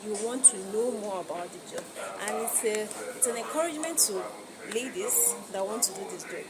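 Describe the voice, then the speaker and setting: A woman's voice: mid-range voice, expressive, a little fast. A woman with a Nigerian accent explains her work as an air traffic controller in an interview. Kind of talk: interview answers explaining the job. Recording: loud chatter of other voices behind.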